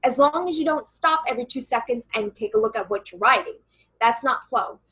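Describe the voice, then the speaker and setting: A woman channeler and coach with a loud voice, earnestly talking a listener through a writing meditation in a casual interview over a video call. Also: fairly high pitch, animated, fairly quick, breathy edge, poor audio. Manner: earnest and reassuring, many fillers.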